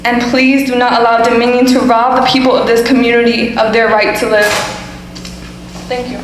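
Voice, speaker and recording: A woman's voice: on the high side, flat delivery, moderate pace, a little hiss or hum. A young woman, a student giving a firm, insistent prepared comment at a public hearing. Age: young adult. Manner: firm, insistent, reading a statement. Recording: echoey hall with faint ambience.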